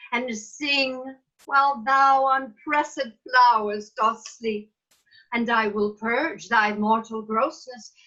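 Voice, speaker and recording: A woman playing a regal, doting fairy queen in a Shakespeare table read, speaking measured verse theatrically over a video call. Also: high-pitched, bright, forceful tone, exaggerated voice. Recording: muffled video call audio.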